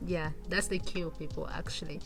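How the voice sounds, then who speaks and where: A young Nigerian woman hosting an interview, chatty and quick to laugh. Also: sits low, fairly even intonation, gravelly, music in the background. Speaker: host, interviewer. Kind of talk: casual interview chat.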